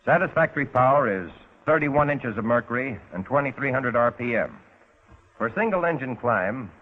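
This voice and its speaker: An American man narrating a 1940s instructional film in a measured, precise, instructive way, as a flight instructor would. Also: mid-range voice, slightly rough. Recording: tinny narrow-band 1940s film soundtrack.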